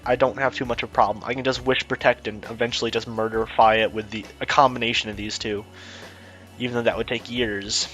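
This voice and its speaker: A man's voice: mid-range voice, a bit bright. A young man with a head cold, sounding stuffy, giving rambling, offhand casual commentary on his game team with self-mocking asides. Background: faint music.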